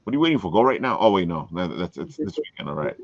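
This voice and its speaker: Guy hosting a casual show, lively swings in pitch, folksy, repeats himself, dull lo-fi audio. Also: gravelly, breathy edge, no echo. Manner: folksy, emphatic repetition. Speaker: show host. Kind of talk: casual promotional livestream chat.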